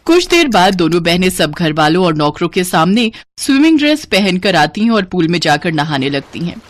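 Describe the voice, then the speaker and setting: Lively woman narrating a story. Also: on the low side, moderate pace. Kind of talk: scripted dramatic story narration.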